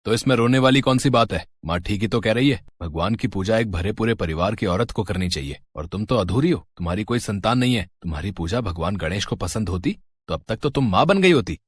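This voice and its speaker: A man's voice: lowish voice, lively intonation, fairly quick, slightly rough, no echo, studio quality. A man voicing a cold, reproachful husband in a cartoon family story, blunt and dismissive. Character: cold, reproachful husband belittling his wife.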